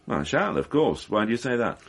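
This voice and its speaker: A husky police inspector in a radio mystery, low in pitch. He questions people politely and formally, always probing in a measured way. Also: big pitch swings, heavily acted. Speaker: actor in a radio mystery drama. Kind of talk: radio drama acting.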